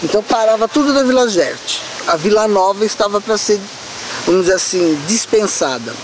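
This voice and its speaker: A man's voice: high voice, lively intonation, fairly quick, poor audio. A man in a documentary interview, reflectively recounting the history of his neighborhood. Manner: reflective storytelling. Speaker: community member interviewee. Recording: clear background noise.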